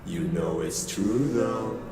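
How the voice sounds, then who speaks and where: Casting: gloating male game monster, menacing and drawn-out, echoey, loud music behind. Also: fairly low pitch, gravelly, distant mic. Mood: cruel mockery. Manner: slow, menacing, mocking. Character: gloating male monster mimicking a friend, cruel. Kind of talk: visual novel game voice acting.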